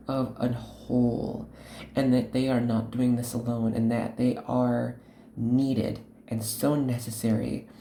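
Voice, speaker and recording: A woman hosting an unscripted tarot reading, speaking to the viewer in an earnest, instructive way, in short halting phrases. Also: extremely low pitch, dark tone, reverberant, a little hiss or hum. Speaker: host, tarot card reader.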